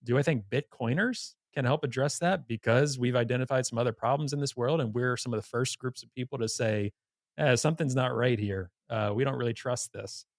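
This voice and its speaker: A man's voice: mid-range voice, brisk, no room sound, studio quality. A man hosting a podcast in an informal, blunt conversational manner. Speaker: podcast host. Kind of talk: conversational interview.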